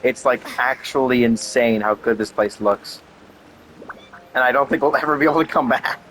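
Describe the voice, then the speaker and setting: A man's voice: medium pitch, moderate pace, fairly bright tone, a little hiss or hum, rough recording. A young adult man giving casual gameplay commentary as a gaming streamer. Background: game music.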